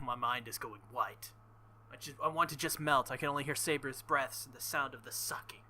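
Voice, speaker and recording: A man reading a visual novel aloud with casual commentary, halting and embarrassed, often breaking into nervous laughter. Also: mid-range voice, bright, forceful tone, slight background noise. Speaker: let's play host.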